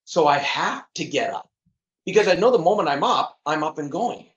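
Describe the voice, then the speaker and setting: A man's voice: fairly high pitch, animated, moderate pace, a bit bright, highs cut off. A middle-aged man speaking earnestly as a podcast guest, often repeating words and laughing at himself. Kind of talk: casual interview chat.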